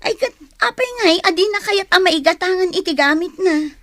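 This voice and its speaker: A woman's voice: extremely high pitch, moderate pace, fairly bright tone, dry recording, studio quality. A woman with an Ilocano accent playing a sweet, agreeable younger sister in a radio comedy drama. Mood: caring, curious. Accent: Ilocano, northern Philippines.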